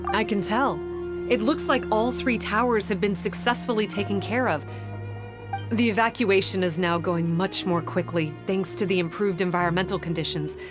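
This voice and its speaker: A woman playing a calm, analytical guide in a video game, speaking in a measured, formal way. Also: medium pitch, music in the background, natural voice. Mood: composed. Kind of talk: scripted game cutscene dialogue.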